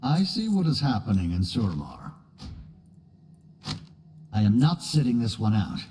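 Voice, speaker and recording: Mellow, cocky mercenary adventurer. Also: male voice, lowish voice, wildly swooping pitch, moderate pace, breathy edge, big-room sound, heavily acted. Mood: determined. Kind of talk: fantasy video game voice acting.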